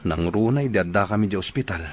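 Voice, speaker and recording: A man acting as weary young father, low voice, earnest, respectful, radio drama. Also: animated, fast talker, a little hiss or hum, lightly acted. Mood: respectful, subdued. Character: weary, devoted young father of a sick child.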